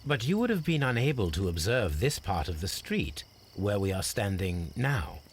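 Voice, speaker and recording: An actor playing a shrewd, composed Victorian gentleman detective with an English accent, formal and measured, in a video game drama. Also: fairly low pitch, very animated, slight background noise. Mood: inquiring, courteous.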